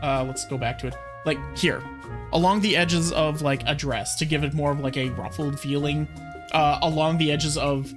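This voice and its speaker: A host reacting to an art video and chatting casually about drawing techniques, with relaxed, rambling delivery and plenty of fillers. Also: medium pitch, moderate pace. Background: background music.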